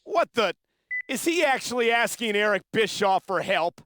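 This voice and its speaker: Play-by-play wrestling commentator: extremely high, concerned, excitable, faint background noise. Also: male voice, moderate pace. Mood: incredulous. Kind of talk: sports commentary in a video game.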